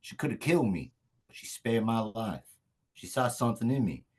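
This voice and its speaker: A man acts out a brash small-time hitman in a comedy table read. His husky voice swings widely in pitch, emotional and foul-mouthed, at a middle register. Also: sing-song, moderate pace. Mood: regretful.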